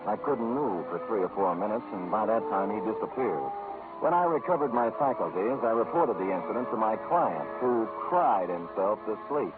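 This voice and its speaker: Tinny vintage radio sound with music behind an actor playing a hard-boiled male detective. His lowish voice is wry and sardonic, with animated swings, in 1940s noir drama style. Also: moderate pace, natural voice. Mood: deadpan. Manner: sardonic, wry, mock-formal. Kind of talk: 1940s radio noir acting and first-person narration.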